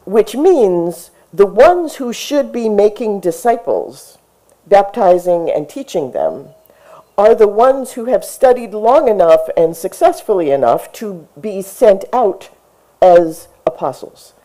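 Middle-aged woman pastor preaching outdoors at a lectern mic; unhurried and measured, with a mellow voice that rises for emphasis. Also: mid-range voice, big pitch swings, rough recording. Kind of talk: sermon. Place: outdoor tent service in front of a brick church. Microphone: stand mic at a lectern, far camera.

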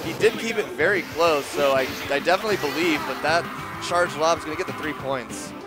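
A man's voice: fairly high pitch, a little fast. A man doing casual live co-commentary on a video game match. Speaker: esports tournament co-commentator. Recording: music behind, live event stream. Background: game music.

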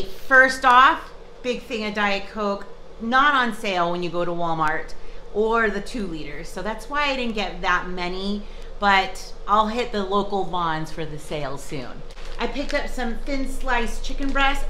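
Upbeat woman vlogger in her fifties, bright tone, casual kitchen chat to a close camera. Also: mid-range voice, a little hiss or hum, low-quality recording. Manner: chatty and upbeat, with frequent fillers and restarts. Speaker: vlogger showing a grocery haul. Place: home kitchen, indoors. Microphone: camera close up, in front of her. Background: ice machine running.

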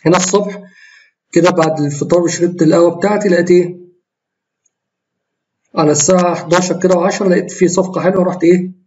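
Egyptian man, tired hoarse voice, quick and rambling, faint background hiss. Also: sits high, steady tone, darkish tone, muffled recording. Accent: Egyptian Arabic. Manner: rambling, self-correcting, explains while trading live. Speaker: trading tutorial host. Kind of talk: screen-recorded trading walkthrough.

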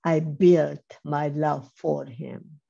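An older woman giving a measured, emphatic personal testimony over a video call, speaking slowly with pauses. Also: deep voice, steady tone, gravelly, dark tone. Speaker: Holocaust survivor speaker. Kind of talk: slow personal testimony talk. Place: home room on video call. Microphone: webcam mic on video call. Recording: slightly dull lo-fi video call audio.

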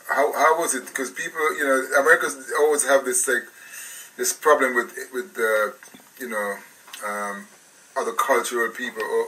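A man's voice: mid-range voice, pushed and bright, far from the mic, rough recording. A man from England, hosting a radio interview in a rambling, casual way with many restarts. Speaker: radio show host.

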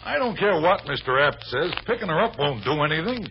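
A man's voice: fairly high pitch, very animated, fairly quick. An American man acting in an old radio drama as a dogged police sergeant, speaking in clipped tough-cop slang and deferring to his superior. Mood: protesting. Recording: dull, noisy vintage radio recording.

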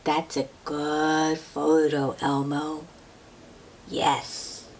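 A woman with a fairly low voice reads a picture book aloud at a very slow pace. She is gentle and expressive, stretching words and putting questions to a young listener. There is noticeable noise behind her. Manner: gentle, drawn-out, expressive, addressing a child. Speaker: children's book read-aloud host.

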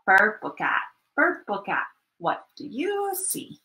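Woman in her thirties, very slow storytime delivery, bright voice, sing-song, made for little kids. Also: medium pitch. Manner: animated, sing-song, repetitive phrasing for young children. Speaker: children's librarian leading storytime. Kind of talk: children's storytime read-aloud and singalong. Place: small furnished room at home, indoors.

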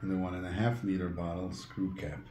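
Older guy in his 60s, deep soft-edged voice, explaining stuff casually, bit halting, echoey room. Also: little pitch movement. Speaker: winemaker, interview guest. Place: indoor room at a table. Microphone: phone camera across a table. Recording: roomy phone recording, faint background noise.

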